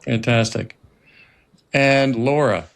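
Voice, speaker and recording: A man with a mid-range voice hosts a podcast. He reads a prepared introduction in a formal, measured way. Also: medium pitch, vocal fry, a little hiss or hum. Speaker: host, writer-director.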